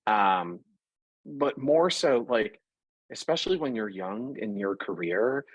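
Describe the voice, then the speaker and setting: Husky low-voiced man, animated. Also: slow pace, no room sound, highs cut off. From Puerto Rico. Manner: animated, talks through examples with self-corrections. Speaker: interview guest, fashion product executive. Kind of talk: conversational interview.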